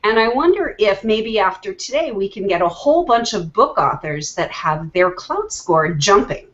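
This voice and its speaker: A woman's voice: mid-range voice, sing-song, normal speed, muffled recording. A woman hosting an online show over a video call, chatty and friendly, often restarting her sentences. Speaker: host. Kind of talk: casual video call chat.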